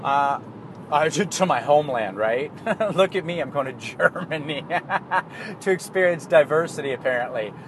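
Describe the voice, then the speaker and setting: A man's voice: on the high side, expressive, normal speed. A Canadian man talking in a casual, rambling monologue, swearing freely and laughing at himself. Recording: street and car noise behind.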